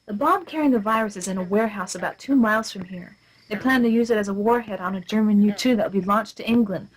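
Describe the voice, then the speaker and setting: An actress playing a brisk, deadpan, matter-of-fact female secret agent in a comic radio play. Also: medium pitch, natural voice, rough recording. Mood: grave.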